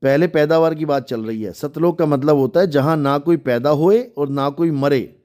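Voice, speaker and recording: A man's voice: fairly high pitch, moderate pace, dark tone. A man in an argumentative religious debate, insistently explaining through rhetorical questions and cutting in. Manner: insistent, didactic, repeats rhetorical questions, interrupts. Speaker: religious debater taking a call.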